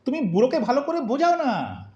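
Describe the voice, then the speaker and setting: A man's voice: extremely high pitch, huge pitch swings, brisk, darkish tone, lo-fi sound, heavily acted. An actor playing a hesitant, fatherly old man in an audio drama, speaking in a plaintive, coaxing way. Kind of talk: Bengali audio drama acting. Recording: roomy, echoing voice recording.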